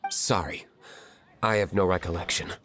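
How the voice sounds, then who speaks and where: Aloof, terse young male tavern owner for a game, slightly low, dry delivery. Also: steady tone, normal speed, bright, forceful tone, a little hiss or hum. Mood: dismissive. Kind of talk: anime-style video game dialogue. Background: music.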